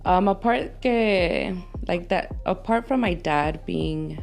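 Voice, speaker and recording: Female interview guest, gravelly low register, candid conversational delivery, code-switches between English and Spanish. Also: slow, darkish tone, faint music behind. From Los Angeles, United States. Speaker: podcast guest. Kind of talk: candid conversational interview, telling life story.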